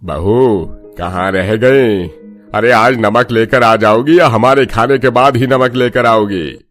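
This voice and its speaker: A man's voice: mid-range voice, takes it slowly, a bit bright. A man playing an older father-in-law, the family elder, in a cartoon family story. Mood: impatient. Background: background music.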